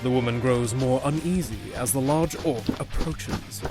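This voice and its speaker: A man's voice: mid-range voice, unhurried. A man narrating a scripted fantasy audio drama as a storyteller. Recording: music behind.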